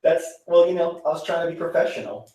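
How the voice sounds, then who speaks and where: A man's voice: a little high, fast talker, noisy background. A man talking as a guest on a live stream in a friendly, easygoing way, saying bro often. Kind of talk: casual live stream chat.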